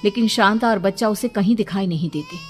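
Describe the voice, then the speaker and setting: A woman's voice: mid-range voice, fairly quick. A woman narrator reading a scripted horror story dramatically, with background music under her voice.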